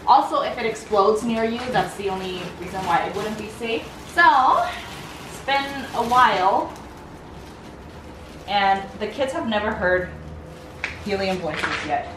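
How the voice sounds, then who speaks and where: A woman's voice: mid-range voice, animated, unhurried, pauses often. A woman in her twenties, a mother chatting casually in a family vlog, recorded by a camera in an apartment room. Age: 20s. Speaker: mother and vlogger. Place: indoors, small apartment room with wooden floor. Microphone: camera a short distance away. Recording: echoey room, distant camera, loud background noise.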